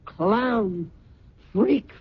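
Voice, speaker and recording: A woman's voice: very slow, husky, exaggerated voice. An actress playing a proud, embittered middle-aged mother in an old-time radio drama, theatrical and bitterly sarcastic. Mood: bitter raging. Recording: dull, noisy old radio recording with rumble.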